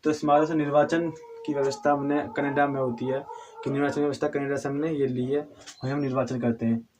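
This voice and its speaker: A hoarse man with a cold teaching a civics lesson, halting and restarting often, asking questions and answering them himself. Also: mid-range voice, flat delivery, a little mellow, a little hiss or hum. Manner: halting, with frequent restarts and throat clearing; addresses viewers as friends, asks and answers own questions.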